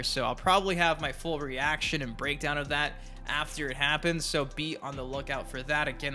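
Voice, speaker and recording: A man's voice: a little high, sharp tone. A man giving casual, chatty gaming news commentary as a YouTube host, speaking informally with emphatic repetition. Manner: chatty, informal, emphatic, repeats words for stress. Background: background music.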